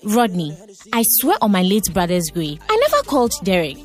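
A shrewd young Ghanaian woman talks on a phone call in an audio drama. Her pitch moves around a lot as she bargains and insists, with some music underneath. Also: huge pitch swings, moderate pace. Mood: suspicious, insistent.

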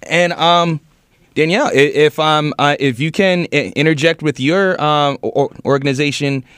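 A man's voice: on the high side, moderate pace, a bit bright, smooth, no room sound, studio quality. A man moderating a panel discussion, hesitant, stumbling through his questions. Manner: hesitant, many fillers and restarts.

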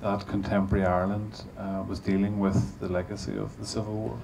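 A man's voice: on the low side, flat delivery, a little fast, creaky, darkish tone, slight background noise, low-quality recording. A middle-aged man chairing a panel on a cinema stage, asking long questions full of restarts. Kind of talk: interviewing a guest on stage. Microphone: handheld mic.

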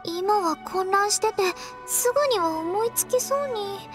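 A sweet, earnest teenage church girl from an anime game. Her voice is high, soft and girlish, with a polite, hesitant delivery over music. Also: a little slow. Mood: anxious. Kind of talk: Japanese anime game voice acting.

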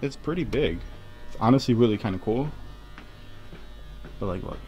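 A young man vlogging as he walks through an empty basement, talking in a rambling, nervous, hushed way, recorded on a handheld phone. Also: mid-range voice, animated, moderate pace, dull audio. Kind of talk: casual handheld walkthrough vlog. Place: empty concrete basement, large indoor space. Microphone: handheld phone camera. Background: faint music.

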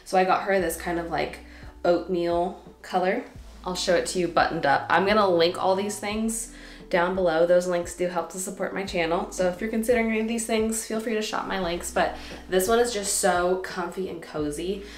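A woman's voice: lowish voice, fairly even intonation, normal speed, reverberant, soft background music. A woman in her twenties chatting casually to camera in a vlog, bubbly and laughing between phrases, with a stuffy nose. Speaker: vlogger. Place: home living room and bedroom.

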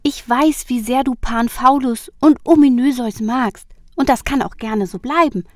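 A woman voicing a cheerful, gentle young goddess in an amateur radio play, speaking softly and coaxingly. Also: fairly high pitch, a little slow, no echo, studio quality, close to an everyday voice. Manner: soft and coaxing.

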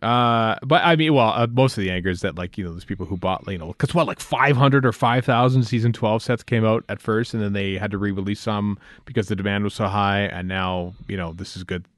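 Low-voiced North American man, casual podcast chat. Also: fairly quick, hardly any pauses, no room sound, clean studio sound. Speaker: podcast co-host.

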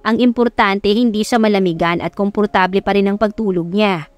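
A woman's voice: medium pitch, fairly even intonation, fairly quick, dry recording, close to an everyday voice. Woman playing female household maid, Filipino accent, fretful, respectful, caring, audiobook drama, background music. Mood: fussing.

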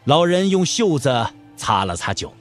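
A man narrating an audio drama as an expressive, colloquial storyteller. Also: fairly high pitch, moderate pace, faint music behind.

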